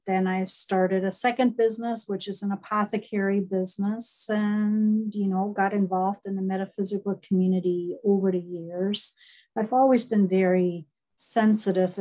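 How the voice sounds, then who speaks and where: A middle-aged woman talks over a headset on a video call. Her pitch sits in the middle of the range. She tells her story warmly and loosely, with plenty of fillers along the way. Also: rough recording. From East Germany. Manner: warm storytelling, frequent laughs and fillers. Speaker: guest, horse body-work therapist.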